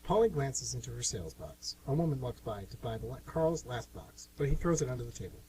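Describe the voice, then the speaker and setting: Man, radio drama narrator and host, medium pitch, animated delivery, amateur recording with hum. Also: brisk, a crowd in the background, rough recording. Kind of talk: scripted radio play narration.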